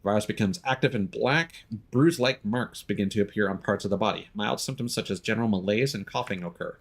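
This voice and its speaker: A man's voice: lowish voice, quick pace. A man giving casual gameplay commentary as a streamer, muttering to himself and reading in-game notes aloud.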